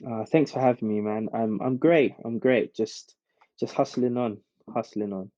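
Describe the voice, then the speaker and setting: Dull-sounding recording, mellow London man. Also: mid-range voice, normal speed, no echo. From South London, England. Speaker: entrepreneur, podcast guest. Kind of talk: casual interview answers. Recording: dull, dry recording with music.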